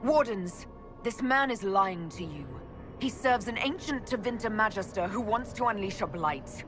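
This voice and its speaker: An actress playing a stern heroic leader in a video game cutscene, speaking in a firm, direct, serious way. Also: female voice, medium pitch, lively intonation, normal speed, music in the background, lightly acted. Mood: stern, commanding. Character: stern heroic woman leader confronting a villain.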